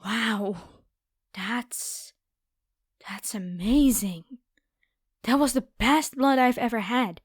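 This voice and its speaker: Haughty, high-voiced predatory vampire girl, acted by a woman. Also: no room sound, clean studio sound. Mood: amazed, demanding. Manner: taunting, theatrical, playful. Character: haughty, predatory noble vampire girl hunting human prey. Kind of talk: ASMR roleplay acting.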